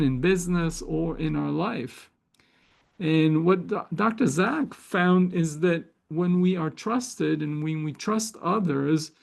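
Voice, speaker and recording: Slow, gravelly man with a Hebrew accent. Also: on the high side. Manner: thoughtful, with frequent hesitations and fillers. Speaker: host of a spiritual gathering. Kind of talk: reflective spiritual talk.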